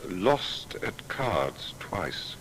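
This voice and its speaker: An actor playing a proud, fastidious young male scholar in a dramatised historical monologue, with deliberate, precise, declamatory delivery. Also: sits low, very animated, fairly bright tone, slight background noise, heavily acted, rough recording. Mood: measured, meticulous.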